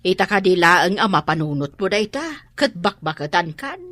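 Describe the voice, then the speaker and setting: An exasperated mother in a radio drama. Her voice is forceful and very expressive in pitch, recorded dry. She nags and reproaches as she speaks. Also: normal speed, dry recording. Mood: reproachful. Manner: nagging, reproachful. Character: exasperated scolding mother of a gambling grown daughter. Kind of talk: Ilocano radio drama acting.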